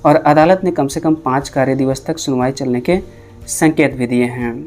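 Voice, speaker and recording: An Indian man hosts a news update in a mellow, slightly dark voice of middling pitch. He reads out reports and rephrases points to explain them, with music playing clearly behind him. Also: fairly even intonation, moderate pace. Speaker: host of an exam and job news channel.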